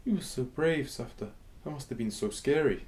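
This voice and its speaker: A man's voice: mid-range voice, moderate pace, a little mellow, slight background noise, natural voice. A man acting in a radio drama as a curious young grandson, inquisitive and admiring.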